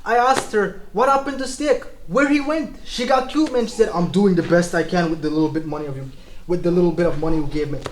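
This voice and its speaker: A man's voice: high-pitched, fast talker, close to an everyday voice, poor audio. A man playing a glib, defensive abusive husband who makes excuses in a dramatized scene. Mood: defensive, cocky. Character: swaggering, excuse-making abusive husband, self-pitying family man. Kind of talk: dramatized courtroom and police scene acting.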